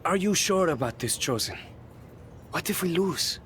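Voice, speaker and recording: An anxious male wrestling partner speaks in a slightly high, swooping voice full of doubt. It is game cutscene dialogue with faint ambience. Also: expressive, normal speed, fairly bright tone, a little hiss or hum. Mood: worried.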